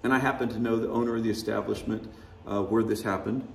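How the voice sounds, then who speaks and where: A man's voice: lowish voice, steady tone, quick pace, gravelly, roomy sound, distant mic. A man preaching a sermon, conversational and wry, often chuckling at his own remarks. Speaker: pastor. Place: church sanctuary.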